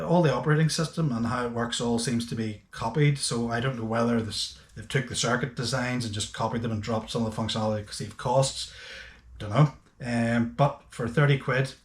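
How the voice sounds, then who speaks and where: A man giving a casual, unscripted gadget review in a chatty, hesitant, matter-of-fact way. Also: medium pitch. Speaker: host of a boating gadget review channel. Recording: echoey, roomy sound.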